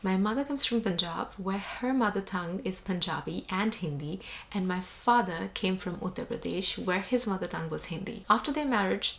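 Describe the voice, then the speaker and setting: Indian-accented woman with a creaky voice. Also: mid-range voice. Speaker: vlogger. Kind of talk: casual talk to camera.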